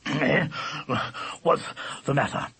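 A man's voice: fairly high pitch, lively intonation, a little slow, creaky, fairly bright tone, husky, exaggerated voice. A man voicing a good-natured, drowsy, shaggy storybook dog who speaks in a casual, chatty way. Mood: groggy. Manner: casual, matter-of-fact, chatty.

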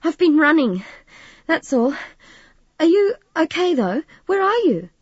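Warm, eager teen girl played by a female narrator, high and animated, concerned, audiobook. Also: unhurried, dry recording, lo-fi sound. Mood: breathless, concerned. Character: warm, excitable, sympathetic teenage girl.

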